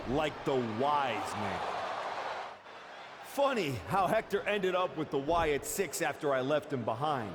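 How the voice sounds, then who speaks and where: Casting: defiant game wrestler, taunting and accusing, fairly high and animated, in a noisy arena. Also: male voice. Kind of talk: dramatic in-ring wrestling promo.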